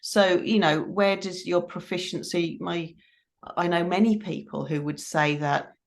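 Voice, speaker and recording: A woman's voice: lowish voice, a little slow, vocal fry. A middle-aged woman answering interview questions in a considered, explanatory way, repeating points for emphasis. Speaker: interview guest, teacher trainer.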